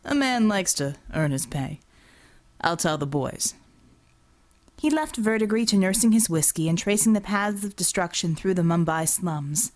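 A woman's voice: mid-range voice, darkish tone. A woman reading a scripted work of fiction as an audiobook narrator.

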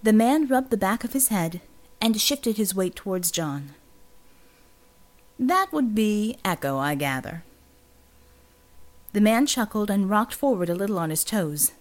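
A woman's voice: medium pitch, lively intonation, normal speed, hardly any pauses. A woman reading scripted fiction as an audiobook narrator.

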